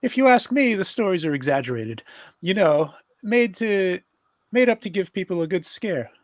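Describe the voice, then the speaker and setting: A man plays an earnest young woman companion with a taste for spooky tales. The pitch sits high and the sound is dry. It is a storytelling delivery from a comedic script read aloud. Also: very animated, normal speed, no echo. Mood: sceptical.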